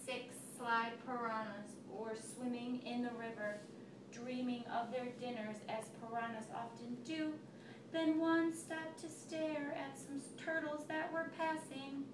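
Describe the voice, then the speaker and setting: High-voiced woman reading aloud to a child. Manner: expressive storytelling, pausing to ask questions. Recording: echoey room, recorded from far off, noisy.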